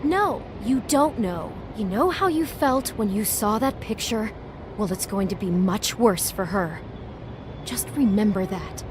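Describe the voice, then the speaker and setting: A woman's voice: medium pitch, expressive, unhurried, natural voice. A woman playing an anxious, caring but firm character in a noir fairy-tale game, soothing yet admonishing. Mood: earnest, cautioning. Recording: clear background ambience noise.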